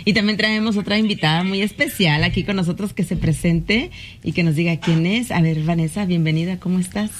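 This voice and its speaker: A woman's voice: fairly low pitch, a little fast, slight background noise. A woman hosting a casual talk-show interview, chatty, playful and upbeat. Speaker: host.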